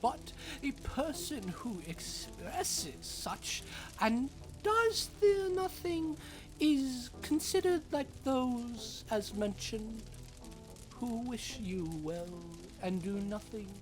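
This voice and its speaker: A woman's voice: on the high side, expressive, slow, over music, rough recording. A woman voicing an imperious, mocking, volatile female dragon in a fantasy audio drama, theatrical and scornful. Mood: menacing, condescending. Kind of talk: fantasy audio roleplay acting.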